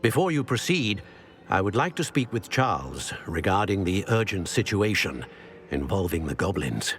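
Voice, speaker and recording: An actor playing a stately old wizard in a game cutscene, speaking in a measured, formal way. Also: male voice, deep voice, sing-song, a bit bright, exaggerated voice. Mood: urgent. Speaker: game character. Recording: faint music behind.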